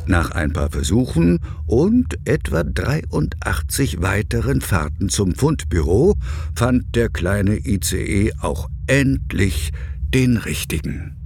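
A man's voice: on the low side, big pitch swings, slow pace, creaky. A man narrating a scripted children's story as the narrator.

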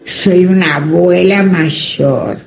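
Slow gravelly woman in her eighties. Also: on the low side, darkish tone, soft background music. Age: 80s. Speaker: older caller. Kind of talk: recorded personal testimony.

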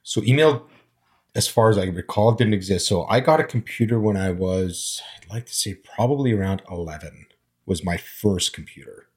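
A man's voice: on the low side, normal speed, breathy edge. A man in his forties who grew up speaking Armenian and Arabic, reflecting on his past in a casual conversation. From immigrant, grew up speaking Armenian and Arabic. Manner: reflective, storytelling, frequent fillers. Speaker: panel guest.

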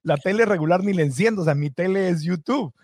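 A man hosting a podcast interview, asking quick chains of questions. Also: sits high, darkish tone, no echo, clean studio sound. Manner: asks rapid chains of questions. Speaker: podcast host. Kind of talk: interview conversation.

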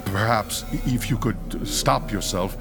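A proud ex-priest turned ghost hunter in a radio horror play. His voice is low, formal and pompous, with music clearly underneath. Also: unhurried. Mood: composed, grave. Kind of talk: radio horror drama acting.

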